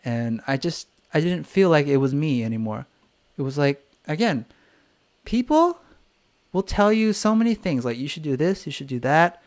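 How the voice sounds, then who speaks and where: A man's voice: a little high, animated, moderate pace, a little mellow. Candid, swearing man on a livestream. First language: English. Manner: candid, swears freely, acts out voices of others. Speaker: singer-songwriter. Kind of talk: live stream chat.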